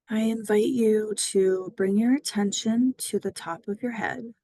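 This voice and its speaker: A woman guiding a mindfulness body scan meditation, speaking gently and soothingly with long pauses. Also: mid-range voice, no echo. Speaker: mindfulness guide.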